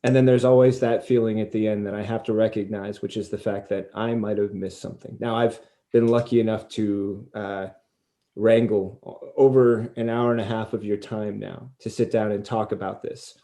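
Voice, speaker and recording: A man hosting a conversational interview on a video call, speaking in wordy, deliberate, self-deprecating phrases. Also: fairly low pitch, flat delivery, warm tone. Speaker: podcast host and interviewer.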